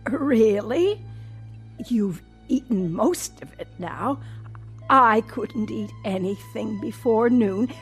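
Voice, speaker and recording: An actress playing a genteel, condescending old woman recovering from a stroke in an audio drama, with breathy, halting, deliberate speech. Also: fairly high pitch, animated, faint music behind, full character voice. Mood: sweetly insistent.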